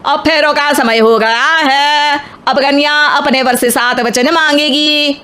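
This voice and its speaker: Female performer as storybook male priest, solemn, measured, higher-pitched. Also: steady tone, sharp tone, heavily acted.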